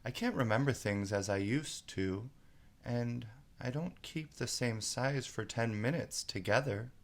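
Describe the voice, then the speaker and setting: A man's voice: mid-range voice, a little slow, pitched above the performer's own voice, lightly acted. A man voicing a polite, earnest young girl in a storybook, puzzled and a little put out. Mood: melancholy. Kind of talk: storybook character voice.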